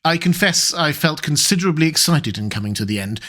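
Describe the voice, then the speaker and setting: Measured male audiobook narrator, higher voice. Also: animated, sharp tone. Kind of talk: scripted narration of a classic novel.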